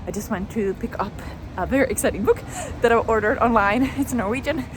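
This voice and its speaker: A young woman whose first language is Swedish, chatting casually in a relaxed, rambling way as she vlogs outdoors in the rain. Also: a little high, a little fast, background noise. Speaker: vlogger. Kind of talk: casual vlog chat. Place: outdoors in rainy city streets.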